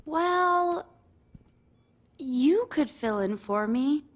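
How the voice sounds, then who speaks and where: A woman's voice: high voice, expressive, extremely slow, heavily acted. A woman voicing a trusting, earnest female wrestling manager in a video game cutscene, sounding plaintive. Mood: dazed, trusting.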